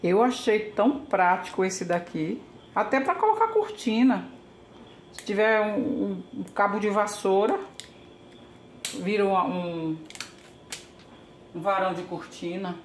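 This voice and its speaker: A woman's voice: mid-range voice, expressive, measured pace, pauses often. Older brazilian lady talking through a kitchen diy job, chatty, roomy echo, faint hiss. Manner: chatty, thinks aloud while working with her hands. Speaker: home vlogger. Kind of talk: casual how-to narration. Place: home kitchen, indoors.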